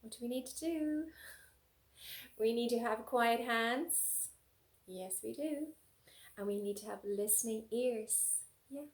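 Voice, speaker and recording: A woman reading a story aloud as a preschool teacher, with coaxing, animated asides and questions for young children. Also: on the high side, slow, pauses often.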